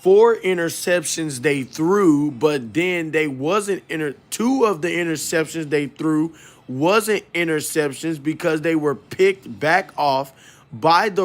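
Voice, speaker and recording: A man hosting an informal sports game preview, speaking haltingly and often correcting himself. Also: a little high. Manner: halting, stumbling delivery with self-corrections.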